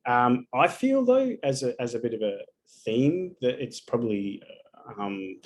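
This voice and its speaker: A man's voice: mid-range voice, sing-song, measured pace, slight background noise. A man giving a live astrology reading over a video call, talking casually and bluntly and swearing often.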